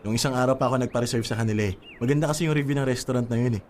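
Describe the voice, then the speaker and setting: A man playing a devoted but exasperated young Filipino boyfriend in a radio drama, expressive and coaxing. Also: mid-range voice, very fast, a little hiss or hum, natural voice. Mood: light, respectful. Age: young adult. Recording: music bed under the voice.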